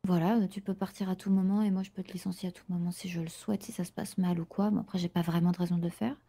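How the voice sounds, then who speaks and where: Une femme jouant une patronne de garage organisée dans un jeu de rôle improvisé, qui explique en hésitant. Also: female voice, lowish voice, level tone, fast talker, mellow, husky. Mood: calm, explanatory. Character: business-like woman boss of a car garage, orderly. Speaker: manager recruiting a new employee. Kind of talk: improvised online roleplay dialogue.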